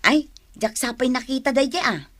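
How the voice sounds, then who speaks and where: A woman's voice: sits high, normal speed, fairly bright tone, no room sound. An actress playing a chatty, eager-to-please woman friend in a radio comedy drama. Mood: agreeable.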